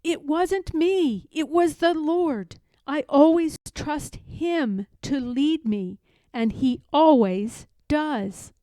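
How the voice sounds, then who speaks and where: A woman's voice: high voice, slow, warm tone, no echo, voice pushed up. A woman reading a storybook, voicing a humble, devout and determined enslaved woman in earnest prayer. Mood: devout, resolute. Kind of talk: storybook read-aloud.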